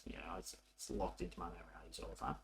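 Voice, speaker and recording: A man narrating a coding tutorial casually, thinking aloud in an informal, halting way as he works. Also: rumbling low, flat delivery, gravelly, a bit bright, a little hiss or hum. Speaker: programming tutorial presenter.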